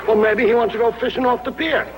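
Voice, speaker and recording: A man's voice: very high voice, steady tone, fast. An actor playing an eager, bragging sidekick who boasts about his Navy days, in a 1950s sitcom. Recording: noisy old TV soundtrack.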